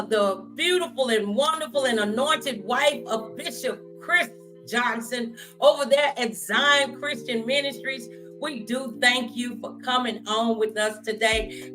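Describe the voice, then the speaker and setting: A woman's voice: a little high, a little slow, music in the background. A middle-aged woman chats candidly and animatedly as the host of a faith-based talk show. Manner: animated, candid, acts out quoted remarks.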